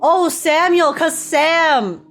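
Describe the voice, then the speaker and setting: A woman's voice: extremely high pitch, extremely slow, a bit bright, soft background music. A woman reacting live to a story game, casual and playful, exclaiming and poking fun at herself. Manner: playful, self-deprecating, exclaiming.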